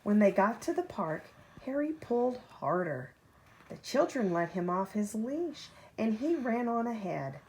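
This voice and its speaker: A woman reading a children's picture book aloud like a parent at bedtime, with expressive, deliberate phrasing and drawn-out key words. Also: mid-range voice, low-quality recording. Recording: home recording with faint background noise.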